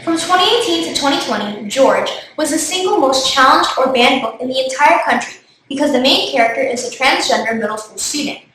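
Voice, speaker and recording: A woman reading school morning announcements in a measured way. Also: sits high, lively intonation, a bit bright, slight background noise. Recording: very echoey room.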